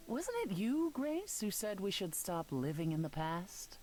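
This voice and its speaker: An actress playing a composed immortal woman leader in a story game, speaking in a measured, formal way. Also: medium pitch, big pitch swings, darkish tone, slight background noise. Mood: calm, dry. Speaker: voice actor. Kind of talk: dramatic dialogue in a story game.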